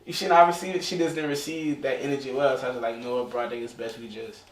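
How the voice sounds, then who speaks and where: A man in his early twenties chatting casually as a podcast co-host, telling things in a slangy, animated way. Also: medium pitch, quick pace. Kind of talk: casual group chat. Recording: echoey room, distant mic, faint noise.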